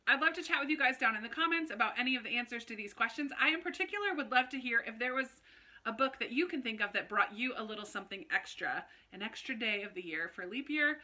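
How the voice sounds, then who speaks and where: A woman's voice: a little high, pushed and bright. A woman talking casually and chattily to viewers as a book vlogger, with an informal manner. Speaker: book vlogger, channel host.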